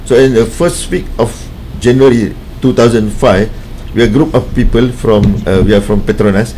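A man from Malaysia, president of a charity, answering a radio interview in halting English with many fillers. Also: medium pitch, dark tone, a little hiss or hum, rough recording. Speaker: guest, NGO president.

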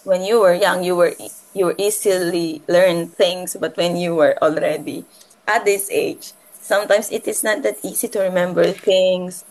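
Halting, lower-pitched Filipino-accented woman. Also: fairly even intonation, darkish tone, low-quality recording. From Philippines. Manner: halting, repeats and rephrases phrases. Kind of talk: casual conversational personal talk.

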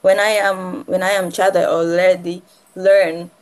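Halting, lower-pitched Filipino-accented woman. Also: level tone, a little mellow. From Philippines. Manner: halting, repeats and rephrases phrases. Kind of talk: casual conversational personal talk.

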